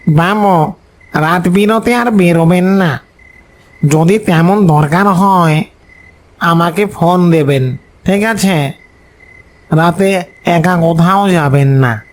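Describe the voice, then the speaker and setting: An ominous caretaker in a cartoon ghost tale, put on by a male narrator. His voice is high for a man, and he warns slowly and deliberately. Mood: cautioning. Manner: slow, deliberate warning. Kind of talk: animated ghost story acting.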